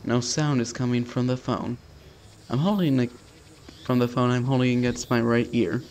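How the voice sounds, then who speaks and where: A man's voice: mid-range voice, steady tone, normal speed, darkish tone. A man narrating a visual novel let's play in an informal, slangy, offhand way. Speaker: video game streamer doing a let's play. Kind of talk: casual let's play, reading visual novel text aloud.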